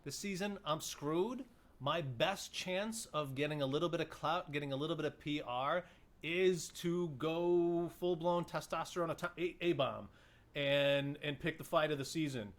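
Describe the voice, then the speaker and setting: A man's voice: fairly high pitch, normal speed. A man co-hosting a podcast, a speech coach, asking playful, probing questions in casual chat.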